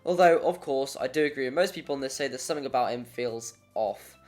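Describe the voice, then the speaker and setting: Video essay narrator, mid pitch, informal and jokey, casual asides, background music. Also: fast, a little mellow. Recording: music under the voice.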